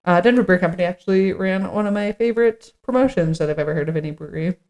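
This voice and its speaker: Woman, slightly low pitch, podcast co-host, informal chat, partly read from notes. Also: racing, a little mellow.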